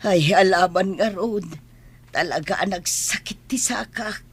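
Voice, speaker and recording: A woman's voice: mid-range voice, unhurried, slightly rough. A Filipino woman playing an injured elderly mother in an audio drama, speaking plaintively. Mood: pained, weary. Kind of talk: Ilocano audio drama acting.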